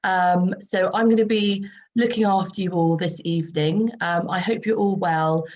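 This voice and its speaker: A low-pitched, croaky woman in her forties talks casually to viewers over a video call, filling pauses with ums. The sound is dull and lo-fi, like laptop call audio. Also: fairly even intonation, a little mellow. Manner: chatty, many ums, light laughs. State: croaky voice after throat infection. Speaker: webinar host and skincare expert. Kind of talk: casual online presentation.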